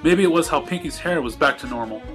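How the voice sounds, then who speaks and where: A man's voice: on the high side, animated, quick pace, fairly bright tone, faint music behind. A man reading fan fiction aloud as scripted third-person narration. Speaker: story reader.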